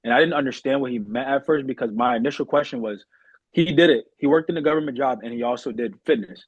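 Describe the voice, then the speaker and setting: Young male guest, husky mid-range voice, earnest and polite, casual interview delivery, dull close-up recording. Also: medium pitch, fast, no echo. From Massachusetts, United States. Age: young adult.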